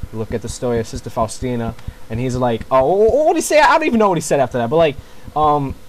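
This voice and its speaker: A young man doing casual gameplay commentary, talking to himself in profane, slangy, excitable bursts. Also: medium pitch. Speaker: gamer. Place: at home at night. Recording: music behind the voice. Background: music.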